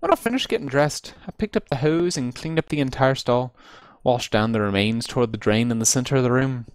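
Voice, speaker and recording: A man's voice: medium pitch, a little fast, clean tone, no echo. A man reading a humorous first-person story aloud with theatrical, emphatic delivery, drawing out stressed phrases, recorded close up over online voice chat. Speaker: amateur reader of a comic story.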